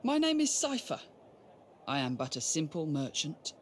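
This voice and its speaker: A courteous, lively-toned young merchant. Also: male voice, on the high side, normal speed, slight background noise. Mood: grateful, respectful. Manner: polite, formal, deferential. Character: humble, courteous, self-assured young male merchant. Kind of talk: video game audio drama acting.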